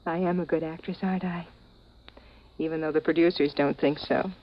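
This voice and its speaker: An American actress playing a seemingly fragile but cunning woman, soft and theatrical, in a 1950s radio drama. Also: low voice, steady tone, normal speed, natural voice. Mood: coolly mocking. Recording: slightly noisy, dull vintage radio broadcast.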